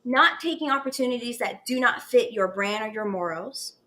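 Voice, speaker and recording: A woman's voice: on the high side, moderate pace, bright, forceful tone. A woman in her late twenties hosting a casual podcast chat in a candid, personal way. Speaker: podcast host.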